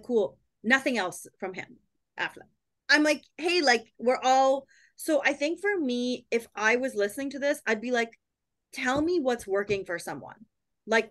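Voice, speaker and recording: A woman's voice: fairly high pitch, moderate pace. A woman in her thirties, a company co-founder, talking animatedly as a podcast guest over a video call from home. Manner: animated, quotes herself, lots of "like". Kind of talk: casual chat on a video call.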